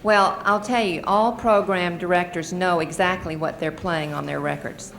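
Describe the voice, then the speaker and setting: A woman's voice: lowish voice, steady tone, moderate pace, creaky, fairly bright tone. A middle-aged American woman giving earnest, explanatory testimony as a witness at a formal hearing. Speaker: advocacy group witness and mother. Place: Senate committee hearing room. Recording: noisy archival hearing-room recording.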